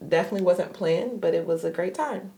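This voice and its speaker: A woman in her thirties hosts a marriage podcast in easy, upbeat chat. Her voice sits low and carries a slight rasp today, and she laughs easily between points. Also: brisk, mellow, a little hiss or hum. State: slightly raspy voice from venting. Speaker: podcast host, marriage therapist associate. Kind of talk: casual conversational podcast chat.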